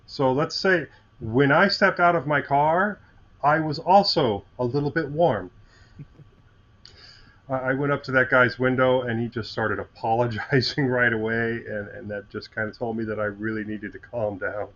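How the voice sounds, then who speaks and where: A man from Iowa co-hosting a podcast, telling stories in a measured, dry way. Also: mid-range voice, steady tone, a bit bright, muffled recording. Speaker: podcast co-host. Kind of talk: casual chat.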